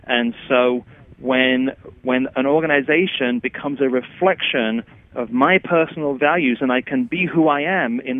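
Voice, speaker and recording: A man with an English accent, a chief executive and interview guest, explaining his work with vivid examples. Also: mid-range voice. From England. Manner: explanatory, paints pictures with examples. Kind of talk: interview answers. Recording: tinny phone line.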